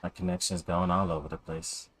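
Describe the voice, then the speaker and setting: A man chatting casually as a live game streamer, in a hesitant, halting way. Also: deep voice, level tone, breathy edge. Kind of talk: casual game livestream chat.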